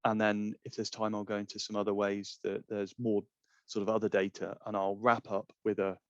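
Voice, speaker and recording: A man's voice: fairly low pitch, monotone, fairly quick, no room sound. A middle-aged man presenting an informal webinar in a conversational, explanatory way over a video call. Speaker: climate scientist presenting a webinar. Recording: dull, lo-fi webinar audio.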